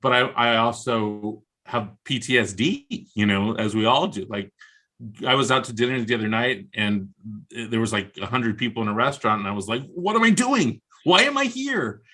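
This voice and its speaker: An American man with a bright, forward voice at an ordinary male pitch. He talks casually as an interview guest on a video call, with wry, self-mocking humor and a taste for comic exaggeration. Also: animated, normal speed. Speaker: guest author.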